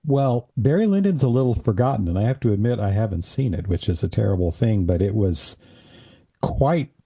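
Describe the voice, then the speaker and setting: A man hosting a casual talk show with a raspy, dried-out throat, leading the conversation in a friendly way. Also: on the low side, expressive, brisk, warm tone. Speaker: podcast host. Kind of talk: casual chat.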